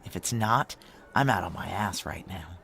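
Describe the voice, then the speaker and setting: An actor playing a determined, tense security chief, narrating a noir audio drama in a clipped, urgent, hard-boiled manner. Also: male voice, sits low, lively intonation, normal speed, gravelly, a bit bright, slight background noise, exaggerated voice. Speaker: narrator and lead character.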